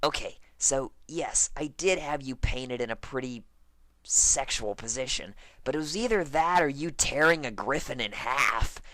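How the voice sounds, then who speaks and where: A brash young female pegasus pilot, acted out by a man, pitched well up from his own voice. She is emphatic and pleading, in a storybook-style character reading with very dry, close sound. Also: measured pace, pushed and bright, no echo. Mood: defensive, cocky. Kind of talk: character voice in fan fiction reading.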